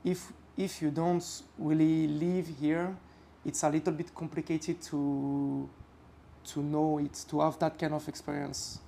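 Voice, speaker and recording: A young man from France with a French accent, chatting casually as a podcast guest and often pausing to search for English words. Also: on the high side, level tone, gravelly, warm tone, a little hiss or hum. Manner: halting, searches for English words with many fillers.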